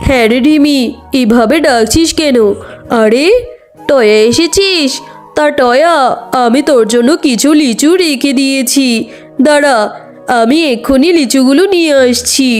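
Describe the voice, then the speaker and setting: A woman's voice: sits high, unhurried. Lady doing a sneaky tigress aunty, fake sweet and coaxing, cartoon with music. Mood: falsely sweet. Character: sly tigress aunt, falsely sweet and dismissive.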